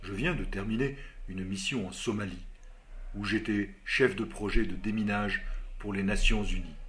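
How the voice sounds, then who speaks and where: An actor playing a composed army officer who answers job interview questions in a measured, formal way, in a scripted learner dialogue. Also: male voice, lowish voice, fairly even intonation, fairly bright tone, natural voice. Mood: calm.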